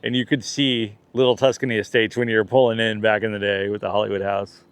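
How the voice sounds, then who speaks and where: A man's voice: on the low side, level tone, fast talker, clear, resonant tone, dry recording. A man vlogging outdoors while touring a neighborhood, chatty and jokey, correcting himself as he goes. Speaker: vlogger, tour guide. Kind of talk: casual touring commentary.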